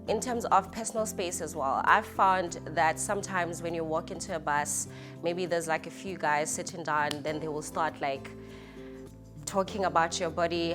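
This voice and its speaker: A South African woman with a South African accent, speaking candidly as an interviewee. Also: lowish voice. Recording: music behind the voice.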